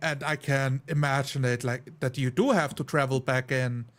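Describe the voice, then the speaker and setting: A man in a casual discussion as a game player, talking haltingly as he thinks aloud. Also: mid-range voice, steady tone, a bit bright. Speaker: tabletop role-playing player.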